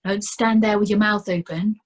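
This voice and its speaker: A shrewd old watch commander, brisk and wry, with a dry mock cheerfulness, as a woman reader puts him on. The voice is a little husky and breathy. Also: mid-range voice, steady tone, voice pushed up, close to an everyday voice.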